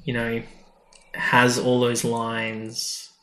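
A man's voice: medium pitch, completely flat delivery, extremely slow, bright, forceful tone, echoey room, poor audio. A man co-hosting a podcast, casually recapping a story and acting out people's reactions as he retells it. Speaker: podcast co-host. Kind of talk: casual episode recap chat.

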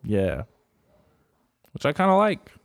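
An American man chatting casually as a podcast co-host, with a deadpan, self-mocking manner. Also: a little high, takes it slowly, creaky, no room sound, clean studio sound. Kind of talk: casual banter.

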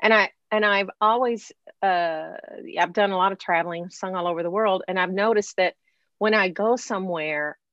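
Woman, host, classical singer, chatty, animated, dry lo-fi sound. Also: mid-range voice, moderate pace, no room sound. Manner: animated, chatty, laughs often. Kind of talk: casual interview chat.

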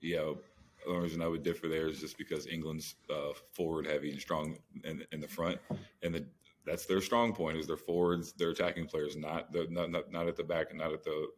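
Fast, very deep-voiced man talking sports. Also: flat delivery. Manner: informal, slangy, repeats phrases. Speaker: sports podcast co-host. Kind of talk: casual sports analysis.

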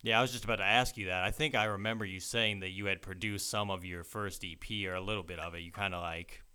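A low-pitched man with a smooth, crisp and bright tone hosts a casual interview. He speaks loosely, with frequent fillers and easy agreement. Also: fairly even intonation, fast. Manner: laid-back, lots of "like" and "yeah" backchannels. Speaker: podcast host.

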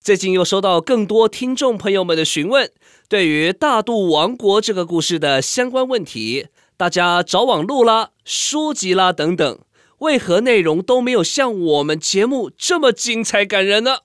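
A man with a Taiwanese Mandarin accent hosting and narrating a radio drama in a theatrical, expressive storytelling manner. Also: high-pitched, moderate pace, clean tone, dry recording, clean studio sound.

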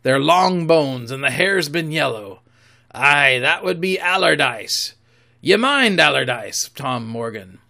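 A man voicing a cunning, commanding old pirate cook who talks in gruff sailor's slang, loud and blustering. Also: fairly high pitch, expressive, takes it slowly, exaggerated voice.

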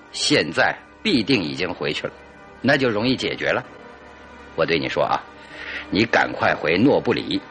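An actor playing a shrewd, authoritative detective in a radio drama, deliberate and probing. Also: male voice, medium pitch, fairly bright tone. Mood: decisive.

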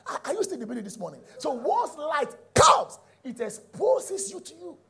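A middle-aged Nigerian man with a raspy voice, teaching a sermon emphatically, repeating key phrases and checking in with his listeners. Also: extremely high pitch, wildly swooping pitch, moderate pace, sharp tone. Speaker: preacher.

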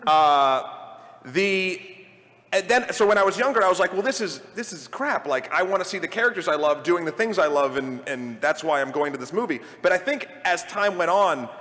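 A man speaking informally and emphatically as a panelist in an animated live discussion about games and films. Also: high-pitched, moderate pace. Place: large indoor convention panel room. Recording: echoey large hall, live panel sound.

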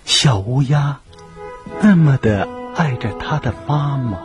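A man narrating a children's radio play in an expressive storybook style. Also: mid-range voice, slow, over loud music.

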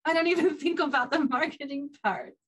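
A woman's voice: high-pitched, brisk, a bit bright. A woman chatting informally as an author guest in an interview, given to small laughs between phrases. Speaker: guest, self-published author. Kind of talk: casual interview chat.

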